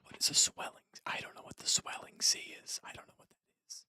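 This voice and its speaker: A person whispering casual, rambling commentary while playing puzzles, musing aloud in a breathy, hushed voice close to the microphone. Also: a little fast, no echo. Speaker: host of a puzzle-game stream.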